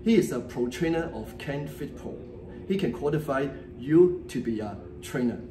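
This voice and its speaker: A man's voice: medium pitch, lively intonation, normal speed. Man interviewing in an echoey gym. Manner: short affirmations, simple non-native phrasing. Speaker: host. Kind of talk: casual interview. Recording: echoey gym, far from mic, loud background noise.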